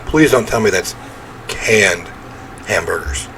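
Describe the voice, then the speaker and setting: Guy w a lowish voice doing lets play commentary, chatty, reads game text out, noisy background. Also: lively intonation, slow, creaky, a bit bright. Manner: chatty, informal asides. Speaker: gaming video host. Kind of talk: casual let's-play commentary, reading game text aloud. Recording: game sound and noise behind voice.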